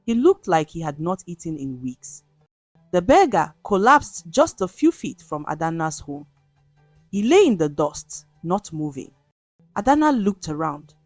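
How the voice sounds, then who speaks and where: Female folktale narrator, dramatic and measured, lower register, close dry recording. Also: dry recording. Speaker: storyteller.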